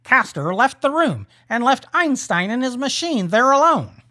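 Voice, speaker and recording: A woman narrating a scripted audio drama in a deliberate storytelling manner. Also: on the high side, very animated, sharp tone. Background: music.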